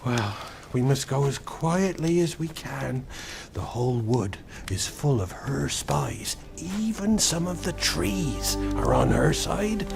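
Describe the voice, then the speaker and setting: An actor playing a timid, guilt-ridden fawn in a radio drama, faltering and plaintive. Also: male voice, mid-range voice, animated, takes it slowly, vocal fry, over music. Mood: resolved, hushed. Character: tearful, guilt-ridden male fawn, timid and self-reproaching.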